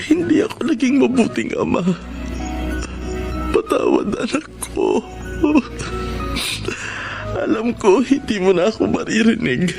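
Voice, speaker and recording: A man's voice: high voice, wildly swooping pitch, measured pace, gravelly, pauses often, full character voice. A man playing a remorseful young father in a radio drama, pleading and earnest, with music under the voice. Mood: grieving. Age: young adult. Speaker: narrator and lead in radio drama.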